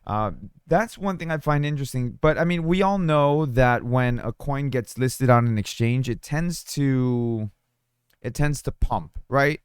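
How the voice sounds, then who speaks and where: A man with a slightly hoarse, sore throat talking casually over a screen share, rambling with fillers and restarts. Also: medium pitch, measured pace, no echo, studio quality. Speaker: crypto mining YouTuber. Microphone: studio desk mic.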